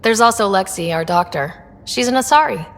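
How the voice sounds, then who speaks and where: Woman as a creaky-voiced, level-headed space leader. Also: mid-range voice, lively intonation, brisk, big-room sound, natural voice. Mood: curious, wry. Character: level-headed, friendly young female space expedition leader. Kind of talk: video game character dialogue.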